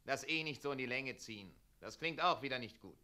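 An actor playing a fussy, officious town mayor in a radio play, pedantic, bossy and unctuous. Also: male voice, mid-range voice, animated, fairly quick, pushed and bright. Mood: bossy coaching.

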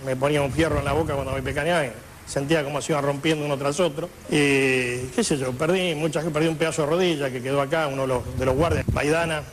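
A man's voice: fairly high pitch, fairly even intonation, fast talker, noisy background. An older man with an Argentine accent giving conversational spoken testimony.

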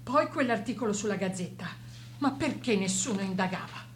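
A woman's voice: medium pitch, animated, brisk, a little hiss or hum, close to an everyday voice. An actress playing an older grieving mother who refuses to believe, in a pained, dramatic radio drama monologue. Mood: pained, indignant. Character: grieving, unbelieving mother of a dead doctor.

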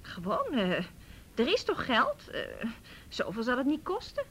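Radio drama: young female, high voice, outspoken and probing, emotional, light background noise. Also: huge pitch swings, unhurried, a bit bright, full character voice. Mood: pleading. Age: young adult. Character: outspoken, inquisitive young woman hoping to study. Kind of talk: naturalistic Dutch radio drama acting.

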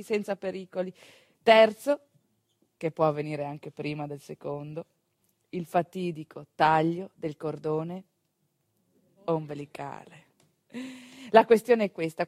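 A woman delivers a theatrical monologue to an audience, emphatic yet conversational, with deliberate pauses and repetitions. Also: on the low side, darkish tone, dry recording. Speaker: stage actress and monologist.

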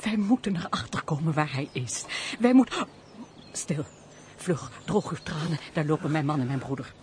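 An actress playing a compassionate married lady in a radio drama, expressive and earnest in a theatrical way. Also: sits low, moderate pace, slight background noise. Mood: consoling, urgent.

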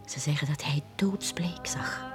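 An actress with a Flemish accent playing a gossiping, excitement-hungry village woman in a radio play. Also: low-pitched, measured pace, breathy edge, whispered, soft background music, full character voice. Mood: disappointed gossip. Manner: gossipy, speculating. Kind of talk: 1980s radio play acting.

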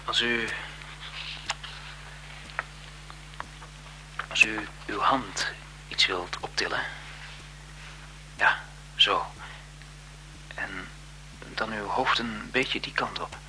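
Shy photographer, very sharp-edged voice. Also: male voice, on the low side, slow pace, long pauses, slight background noise, heavily acted, low-quality recording. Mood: intent, gentle. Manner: hesitant, soft-spoken, courteous. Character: shy, deferential photographer, nervous and smitten. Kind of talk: 1970s Dutch radio drama acting.

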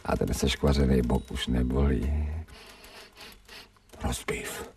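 Very deep-voiced, cheeky stray dog. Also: male voice, steady tone, creaky, a little mellow, slight background noise. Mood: blissful. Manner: slangy, savouring, mock-servile. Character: streetwise stray male dog, grateful, greedy, cheekily thinking aloud. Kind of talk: radio play inner monologue.

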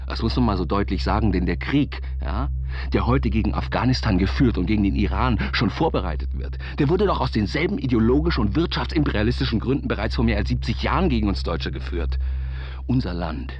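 A man's voice: lowish voice, animated, brisk, lo-fi sound, lightly acted. An actor playing an angry nationalist man who rants coarsely and pompously in a radio drama. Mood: grim, fanatical. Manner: aggressive, coarse, pompous slogans. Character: angry ranting nationalist man, hostile to the microphone. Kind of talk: radio drama monologue, angry political rant.

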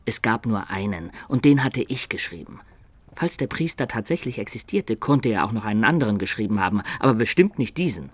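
A man's voice: fairly low pitch, big pitch swings, a little fast, dry recording. An actor playing a cunning adventurer who tells his own past reflectively in a radio drama. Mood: stunned, bitter. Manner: reflective first-person storytelling.

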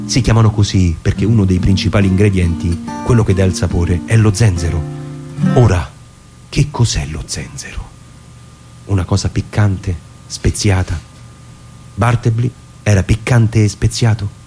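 A low-voiced man reads literary prose like a stage performer. His tone is dark and mellow, musing and theatrical, with deliberate pauses. A plucked guitar plays faintly behind him. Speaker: performer reading a literary narration. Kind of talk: dramatic scripted narration over music. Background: plucked guitar music.

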